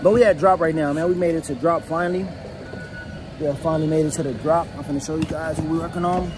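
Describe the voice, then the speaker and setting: An American man in his thirties telling a story in a casual vlog, animated and slangy, repeating phrases and tag questions. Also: a little high, fast. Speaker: flatbed trucker and vlogger. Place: truck cab with open door, steel yards. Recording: loud vehicle and outdoor work-site noise.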